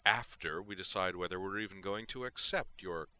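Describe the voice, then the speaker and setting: A man's voice: deep voice, normal speed, sharp tone. A man voicing a pompous, callous male alien admiral in an audiobook, stern and condescending in manner. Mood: dismissive.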